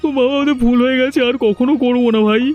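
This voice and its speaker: A man's voice: very high voice, steady tone, fast, a little mellow, highs cut off, soft background music. A man voicing a beaten, cheating villager who begs and wails in a whining, pleading way in a cartoon. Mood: desperate begging. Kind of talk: cartoon voice acting.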